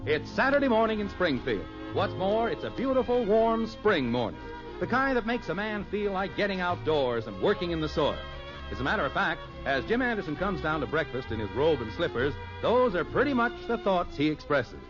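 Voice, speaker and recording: A man's voice: high voice, very animated, moderate pace. A man announcing and narrating a 1950s radio sitcom in a folksy, theatrical scripted style. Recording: dull old radio recording. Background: music.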